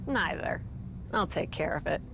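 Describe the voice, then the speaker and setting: A woman's voice: on the low side, expressive, normal speed. A woman playing a tough, abrupt, ruthless wrestling faction leader in video game cutscenes, speaking in a curt, hard-edged way. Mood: cool, decisive. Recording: game audio with clear background noise.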